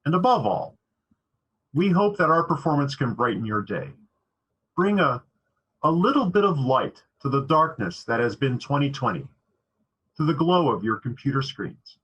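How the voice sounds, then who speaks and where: A man with a slightly husky voice giving an earnest, scripted introduction as a theatre director. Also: medium pitch, very animated, moderate pace, a bit bright, highs cut off.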